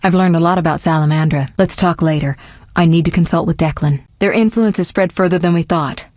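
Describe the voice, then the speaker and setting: A woman's voice: lowish voice, brisk, a little mellow, no room sound, clean studio sound, natural voice. A woman voicing a self-assured, sly sorceress in a video game, speaking in poised, articulate, formal phrases with a teasing edge. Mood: composed, advising. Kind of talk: scripted video game dialogue.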